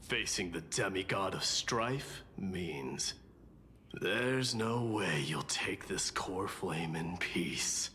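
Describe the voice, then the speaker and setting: An actor playing a proud, battle-hungry demigod warrior in a video game, declaiming in a grandiose, solemn way. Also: male voice, sits low, expressive, slow pace, a little hiss or hum, full character voice. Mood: solemn, challenging. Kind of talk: dramatic video game voice acting. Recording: long reverb, echo, loud music behind.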